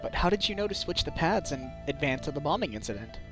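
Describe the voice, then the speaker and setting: A man's voice: on the high side, very animated, fast talker, fairly bright tone. A man voicing a wry, determined defense attorney in a video game fandub, questioning assertively with dry asides. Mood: skeptical. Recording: amateur home recording under game music.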